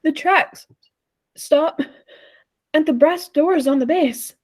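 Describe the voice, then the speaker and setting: A woman's voice: sits high, takes it slowly, a little mellow. A woman playing a time traveler's uneasy, doubtful companion in a 1940s-style radio play, earnest and hesitant. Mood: alarmed.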